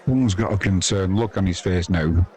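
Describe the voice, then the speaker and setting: A man's voice: low-pitched, moderate pace, warm tone. A British man giving informal, chatty and irreverent live cricket commentary in colloquial language. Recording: crowd noise behind the voice. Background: sports crowd.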